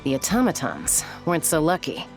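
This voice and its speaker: Confident warrior woman, low pitch, animated delivery, breezy, game acting, music behind. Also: fairly quick, exaggerated voice. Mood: confident, matter-of-fact. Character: confident, battle-ready young female warrior.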